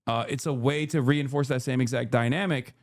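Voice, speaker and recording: A man's voice: mid-range voice, a little fast. A man hosting a live stream, chatting casually and conversationally with frequent fillers. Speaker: streamer host. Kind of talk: casual chat.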